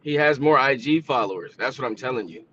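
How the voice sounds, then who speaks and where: A man's voice: mid-range voice, lively intonation, quick pace, fairly bright tone, muffled recording, slight background noise. A man in his thirties calling in, arguing back casually and defensively in slangy talk. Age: 30s. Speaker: caller. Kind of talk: heated live-stream argument.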